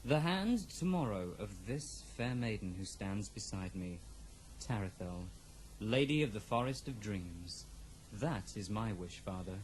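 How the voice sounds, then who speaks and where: A man's voice: lowish voice, expressive, unhurried, slight background noise, poor audio. A man playing an earnest, devoted young prince, humble but resolute, speaking formally in a fantasy audio drama.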